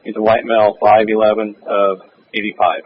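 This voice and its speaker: A man's voice: fairly low pitch, completely flat delivery, brisk, slightly rough, phone-quality sound. A man, a police officer, speaking in clipped, procedural radio traffic over a police scanner. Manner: clipped, procedural, spells out names. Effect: police radio transmission. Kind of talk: terse radio dispatch traffic.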